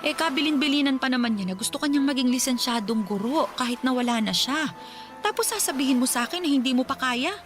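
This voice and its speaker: A woman's voice: sits high, fast talker, faint music behind, natural voice. Stern nagging widowed mother, voiced by a woman. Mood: scolding. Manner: scolding, lecturing. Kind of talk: radio drama acting.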